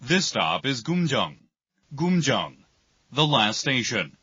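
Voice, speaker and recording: A man reading scripted subway announcements in a formal, deliberate manner. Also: mid-range voice, very animated, bright, forceful tone, slightly rough, dry recording. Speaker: English train announcer. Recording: noisy, dull lo-fi train recording.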